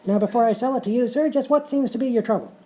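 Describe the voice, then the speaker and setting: A woman playing a showy, fast-talking medicine show huckster in a live radio-style comedy sketch. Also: fairly high pitch, dark tone, slight background noise, close to an everyday voice. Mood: smooth assurance. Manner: showy, smooth-talking salesman patter.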